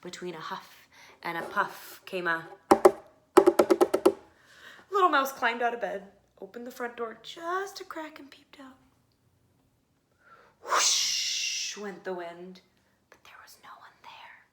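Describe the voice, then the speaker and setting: A woman narrating a children's picture book expressively, with dramatic pauses and hushed phrases. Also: a little high, sing-song. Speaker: storybook reader. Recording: faint background noise.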